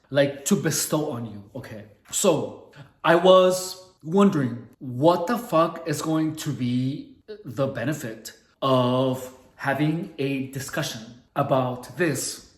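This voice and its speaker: Man, Mexican accent, slightly high voice, slow careful book reading, casual swearing, echoey room. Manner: reads slowly, stops to puzzle over words, swears casually. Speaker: book reader and explainer. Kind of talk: casual read-along of a book.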